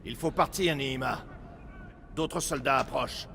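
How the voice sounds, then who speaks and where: A man acting a guarded, weary older Persian father and resistance fighter in a French-dubbed video game, speaking in a grave, measured way. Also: mid-range voice, gravelly, pushed and bright, a little hiss or hum. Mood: urgent, cautious. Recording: game music behind.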